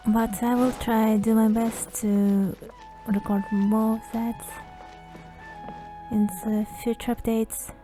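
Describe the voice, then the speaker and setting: Female gamer, casual commentary: mellow tone, hesitant, extremely slow delivery over game music. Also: fairly high pitch, little pitch movement, rough recording. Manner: halting, hesitant. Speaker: gaming content creator.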